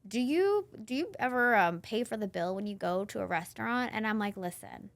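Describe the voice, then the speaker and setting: A woman in her thirties from Northern Virginia, a podcast guest telling her story in a chatty, animated way and laughing often. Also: mid-range voice, fairly quick, gravelly. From Northern Virginia, USA. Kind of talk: casual interview chat.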